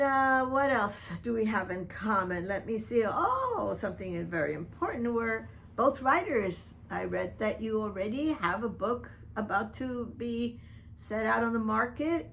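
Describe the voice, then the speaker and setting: Slow, gravelly older woman speaking pointedly. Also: medium pitch, fairly bright tone, slight background noise. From Dominican Republic. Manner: earnest, pointed, speaks directly to the listener by name. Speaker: vlogger addressing a public figure. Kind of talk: personal open-letter video monologue.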